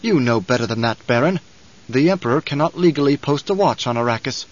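A man's voice: mid-range voice, expressive, a little fast, lightly acted. A male reader acts out a stern aristocratic envoy from an audiobook. He speaks in a formal, pointed way, probing and insinuating as he presses his listener. The sound is slightly dull. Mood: pressing, displeased. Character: stern, probing male count, imperial envoy, veiled threats.

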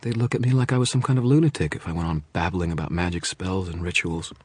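A man voicing a frightened young mother who pleads and confesses in a rush, then drops to a hush. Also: sits low, husky, no echo, pitched above the performer's own voice. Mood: pleading. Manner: breathless pleading, rushing then hushed.

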